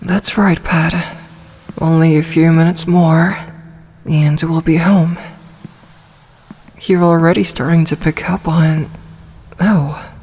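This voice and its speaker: A woman voicing a gentle, protective snake-woman in a calm, soft, reassuring ASMR roleplay manner. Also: deep voice, slow, long pauses, breathy edge, exaggerated voice. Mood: tender.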